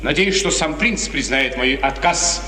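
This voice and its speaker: Proud scornful count, bright ringing voice. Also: male voice, medium pitch, animated, unhurried, far from the mic. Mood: curt, sarcastic. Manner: haughty, curt, sarcastic. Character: proud, blunt young nobleman count, scornful and hot-tempered. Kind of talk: 1950s Russian radio theatre acting. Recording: echoey, faintly noisy 1950s radio drama recording.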